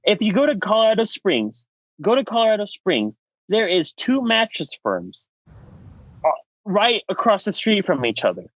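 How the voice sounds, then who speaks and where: Animated male podcast host, swooping pitch. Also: normal speed, no room sound, low-quality recording. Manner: playful, mock-outraged showmanship. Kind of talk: casual podcast banter.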